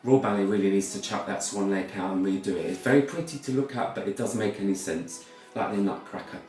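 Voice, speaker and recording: A British man giving a rambling, informal talk as a storyteller, halting and repeating words, with theatrical, opinionated asides. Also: lowish voice, a little mellow, soft background music. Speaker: ballet storyteller and performer. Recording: very echoey room, far from mic.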